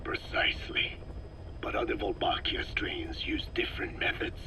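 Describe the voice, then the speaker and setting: An actor playing a grave, learned old scientist in a game, explaining deliberately in a rasping, breathy half-whisper. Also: male voice, background noise, natural voice. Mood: grave, explanatory. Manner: didactic, deliberate. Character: elderly male parasite scientist, learned, measured, grave. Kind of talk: expository dialogue in dramatic game voice acting.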